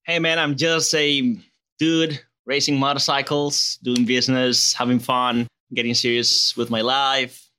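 A man in his late twenties with a Spanish and Venezuelan accent, a relaxed guest chatting casually outdoors. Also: mid-range voice, unhurried. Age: 20s. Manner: laid-back, relaxed and chatty, calls people man.

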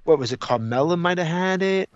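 A man's voice: fairly high pitch, lively intonation, fairly quick, lo-fi sound. A man co-hosting a podcast, chatting casually about his opinions in a blunt, emphatic, profane way. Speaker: podcast co-host.

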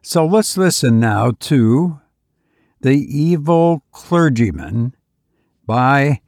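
A man hosting and reading for a horror story podcast, speaking in a measured, deliberate way. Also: mid-range voice, lively intonation, gravelly, darkish tone, dry recording, studio quality. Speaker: podcast host and story reader. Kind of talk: slow intimate horror narration and host talk.

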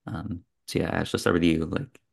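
Deep, gravelly male podcast host. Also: fairly even intonation, normal speed. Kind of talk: casual film discussion among friends.

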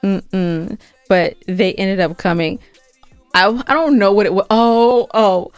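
A woman's voice: medium pitch, slow, clear, resonant tone, no echo, soft background music. Woman chatting casually, dry close sound. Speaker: podcast co-host. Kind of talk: casual banter.

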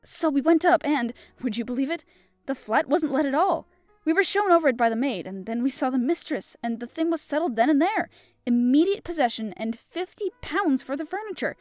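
A woman's voice: sits high, lively intonation, fairly quick, a little mellow, dry recording, soft background music, voice pushed up. A woman reading aloud and voicing a chatty, breathless middle-aged housewife who gushes and rambles. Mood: gushing. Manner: gushing and rambling.

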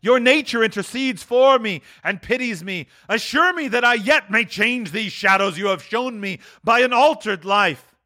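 A man's voice: on the high side, fast, fairly bright tone, pitched above the performer's own voice, lightly acted. A man voicing a reformed old miser in a dramatic storybook reading, exclaiming fervently with emphatic repetitions. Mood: desperate pleading. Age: older. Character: reformed old miser, frantic, pleading, then childishly joyful. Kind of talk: dramatic audiobook character reading.

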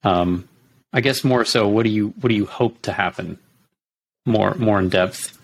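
A man's voice: fairly low pitch, normal speed, gravelly, husky. A man hosting an interview, asking questions hesitantly with many ums and restarts. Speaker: podcast host. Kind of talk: casual interview.

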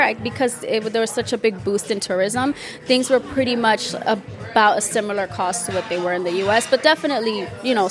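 A woman with a Dominican accent, a podcast guest, casually and frankly telling a travel story in a bar. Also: on the high side. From Dominican Republic.

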